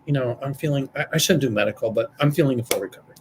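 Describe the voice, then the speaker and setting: Slightly raspy man chatting. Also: medium pitch, very fast, noisy background. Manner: chatty, joking, storytelling. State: slightly raspy after surgery. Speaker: psychic, show guest-regular. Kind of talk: casual chat on a live stream.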